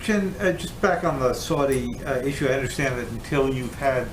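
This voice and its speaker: Deliberate male reporter in echoey room. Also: mid-range voice, expressive. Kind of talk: press briefing questioning. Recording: echoey room with clear background noise.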